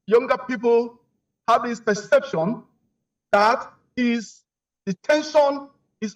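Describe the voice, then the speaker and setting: A man giving emphatic live news commentary as a remote guest, full of rhetorical questions and restarts. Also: sits high. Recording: distant, dull lo-fi remote line.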